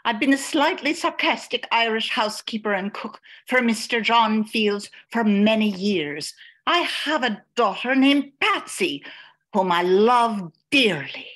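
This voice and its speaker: A woman's voice: on the high side, expressive, unhurried, a bit bright. A woman playing a slightly sarcastic housekeeper and cook in an amateur radio play. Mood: wry.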